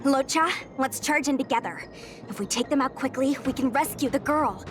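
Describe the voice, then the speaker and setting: Woman acting high-voiced, earnest young female knight. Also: moderate pace, natural voice. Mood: eager. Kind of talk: video game voice acting. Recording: game music behind the voice.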